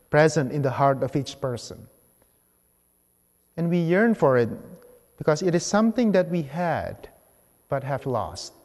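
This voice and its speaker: A man's voice: a little high, darkish tone. Man preaching in a very reverberant church. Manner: deliberate, short phrases with pauses. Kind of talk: homily, measured public preaching. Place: large church sanctuary, at the ambo.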